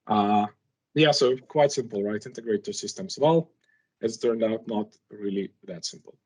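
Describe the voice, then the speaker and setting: A man's voice: fairly low pitch, moderate pace, a little mellow, breathy edge, low-quality recording. A man who is a non-native English speaker gives a conversational technical presentation, informally, posing rhetorical questions as he explains. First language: not English.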